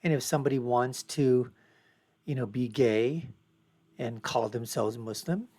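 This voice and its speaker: Male audience voice, medium pitch, calm and matter-of-fact. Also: a little slow. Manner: calm, summarizing another's view. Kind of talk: studio debate comment.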